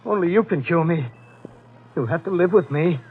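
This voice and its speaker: A man's voice: on the high side, animated, slightly rough. An actor playing a tormented escaped madman, menacing and pleading, in theatrical old-time radio horror style. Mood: anguished, desperate. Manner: theatrical, intense, halting menace. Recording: tinny old radio recording with music.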